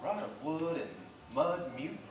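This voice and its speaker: A low-voiced woman reads a dramatic story on stage with measured delivery. Her voice comes from a distance through heavy echo, with music underneath. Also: very animated. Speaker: reader in a staged literary performance. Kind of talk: live dramatic ensemble story reading. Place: theater stage, live recording. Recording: very echoey live theater, distant.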